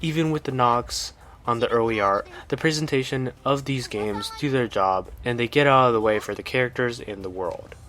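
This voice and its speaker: A man's voice: medium pitch, normal speed, fairly bright tone. A man narrating a scripted video game review as the reviewer.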